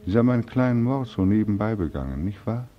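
An actor playing a bitter, despairing young war veteran in a 1940s radio drama, brooding and accusing in manner. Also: male voice, fairly low pitch, animated, quick pace, dull audio, slight background noise, natural voice. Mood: accusing, sardonic.

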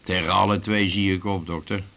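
A deep-voiced, weary male patient. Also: level tone, fairly quick, creaky, bright, forceful tone, heavily acted. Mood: weary, plaintive. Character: weary, aggrieved male patient, plaintive and resigned. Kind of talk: naturalistic Dutch radio drama acting.

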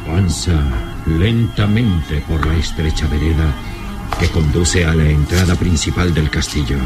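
A man narrating a gothic adventure radio serial in a solemn, suspenseful manner with deliberate phrasing. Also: a bass voice. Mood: solemn, ominous. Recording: old radio serial with dramatic music. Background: dramatic music.

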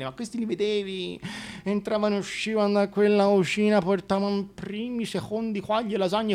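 Man acting a wistful former mistress, gossipy, chatty, confiding, hesitant, colloquial radio theatre monologue. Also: high-pitched.

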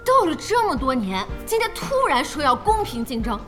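An excitable, naive young lady in an audio drama. Her voice is high and lively, eagerly inquisitive, with faint music behind it. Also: moderate pace. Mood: puzzled, curious. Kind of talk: Mandarin audio drama acting.

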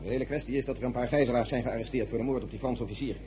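A man's voice: mid-range voice, steady tone, racing, close to an everyday voice. An actor playing an impatient, self-important male diplomat in a radio drama, with a pompous, commanding manner. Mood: irritated. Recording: clearly noisy old radio recording.

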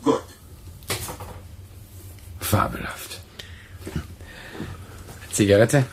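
An actor playing a fussy, cheerful radio drama editor, talking in a conversational, deliberating way in a radio play. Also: male voice, fairly low pitch, drawn-out words, a little hiss or hum. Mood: amused, relaxed. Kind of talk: naturalistic German radio play dialogue.

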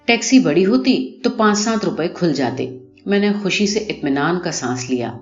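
A woman reading a short story aloud in an expressive, scripted narration style. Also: fairly low pitch. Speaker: audiobook narrator. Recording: muffled, roomy recording with music behind. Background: music.

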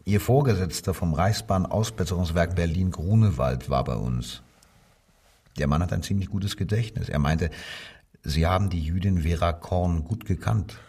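An actor playing a cold, domineering Nazi police commissioner who questions sternly and curtly in a crime radio drama. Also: male voice, low voice, moderate pace, a little mellow, slightly rough, recorded from a distance. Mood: accusing. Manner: stern interrogator, curt probing questions.

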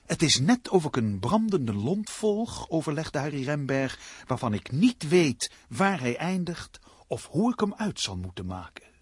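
An actor narrating a literary suspense story in a radio drama as a grave man, with ominous, measured phrasing. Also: on the high side, sing-song, husky, no room sound, studio quality, heavily acted.

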